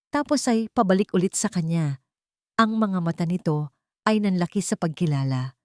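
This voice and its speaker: A woman narrating a scripted dramatic story, phrasing it deliberately with short pauses. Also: lowish voice, a little mellow, no echo, clean studio sound.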